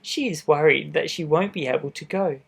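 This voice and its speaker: A man's voice: a little high, normal speed, gravelly, breathy edge. Man reading a children's picture book aloud. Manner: expressive, with gentle asides to young listeners. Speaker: storybook reader.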